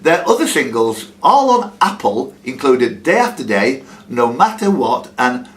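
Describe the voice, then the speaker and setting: A man's voice: medium pitch, big pitch swings, unhurried, a bit bright. A man hosting a live quiz, reading out questions and adding chatty, jokey asides between them. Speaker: quiz host. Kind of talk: live pub-style quiz hosting, reading questions aloud with ad-libbed banter. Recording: clear background noise, live room.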